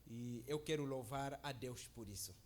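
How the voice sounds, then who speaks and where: A man's voice: mid-range voice, moderate pace, a little mellow. A man who is a guest preacher at a church service, speaking in a chatty, joking way that often asks "né?" and calls for amens. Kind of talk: informal church sermon.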